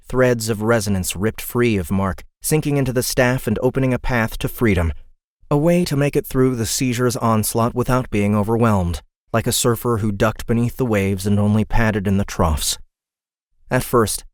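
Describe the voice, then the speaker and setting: Low-voiced male audiobook narrator, dry sound. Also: a little mellow, no echo. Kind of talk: dramatic scripted fantasy narration.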